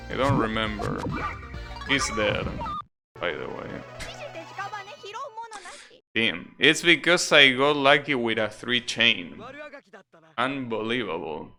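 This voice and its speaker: A man's voice: lowish voice, expressive, takes it slowly, creaky, pauses often. A man giving casual live gameplay commentary as a streamer, often repeating words hesitantly and swearing offhandedly. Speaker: video game streamer. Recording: game music behind the voice. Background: video game music.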